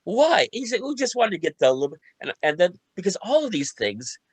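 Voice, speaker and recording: Halting man, close dry sound. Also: sits high, very animated, husky, no room sound. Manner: halting, repeats and restarts phrases often. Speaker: radio play co-writer and panelist. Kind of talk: casual panel talk recounting a creative process.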